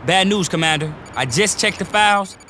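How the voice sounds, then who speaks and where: A wisecracking intel officer. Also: male voice, high voice, expressive, moderate pace, background noise. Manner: quippy, jokey asides. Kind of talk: game cutscene voice acting. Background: loud music.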